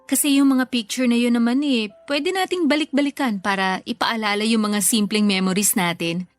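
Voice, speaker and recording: A woman's voice: a little high, moderate pace, no room sound, faint music behind, lightly acted. An actress playing a grateful, affectionate older sister and mother in a sentimental radio drama, speaking tenderly and earnestly. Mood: reflective.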